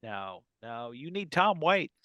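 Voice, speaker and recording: A man's voice: on the high side, sing-song, slow pace, pushed and bright, no room sound, highs cut off. A man co-hosting a podcast in casual comedic banter, chatty and teasing, repeating words for emphasis. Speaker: podcast co-host.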